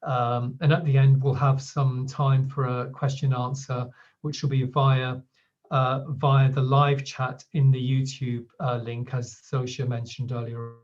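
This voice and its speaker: Brief: male event host, mid-range voice, halting and filler-heavy, dull lo-fi stream audio. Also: medium pitch, little pitch movement, a little mellow. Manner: loose, halting, with many fillers. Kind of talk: hosting an online talk.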